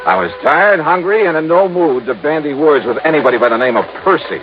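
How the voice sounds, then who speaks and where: Wry American radio detective played by an actor. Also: male voice, on the high side, animated, fast, faint music behind, lightly acted. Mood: wry narrating. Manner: dry, wisecracking, clipped. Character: wry, world-weary male freelance insurance investigator. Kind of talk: 1950s radio detective acting and narration. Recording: muffled old radio recording, faint noise.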